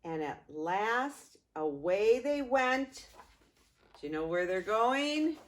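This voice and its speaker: An older woman reading a picture book aloud to young grandchildren, expressively, with playful asides to the listeners. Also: mid-range voice. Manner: expressive, unhurried, addressing small children.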